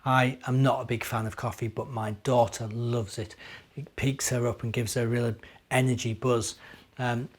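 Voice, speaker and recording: A middle-aged man, a minister, talking and reading to young children in an expressive, simple storytelling way. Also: mid-range voice, normal speed, breathy edge. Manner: expressive, simple child-directed delivery with rhetorical questions. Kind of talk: children's storytelling and gentle chat.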